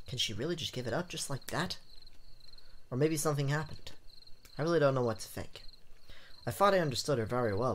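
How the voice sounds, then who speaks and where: A man's voice: on the high side, a little hiss or hum. A man doing a let's play, reading visual novel narration aloud in a theatrical, dramatic way with joking asides. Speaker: gaming YouTuber. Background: game music.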